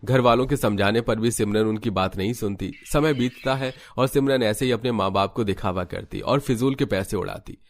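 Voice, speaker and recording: A man's voice: fairly low pitch, moderate pace, few pauses. A man narrating a scripted cartoon family story.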